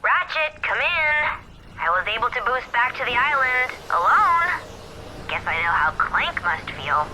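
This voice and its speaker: A woman's voice: sits high, sing-song, measured pace, noisy background. A woman playing an earnest, capable adventurer ally in a video game cutscene. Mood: urgent, concerned. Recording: music behind the voice.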